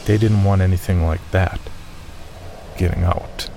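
A man giving a measured, tense first-person reading of a horror story. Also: deep voice, steady tone, vocal fry, background noise.

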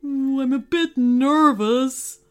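A woman voicing a big, gentle green monster in a storybook, timid, hesitant and worried. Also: high voice, very slow, faint music behind, voice pushed up. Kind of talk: storybook character voice.

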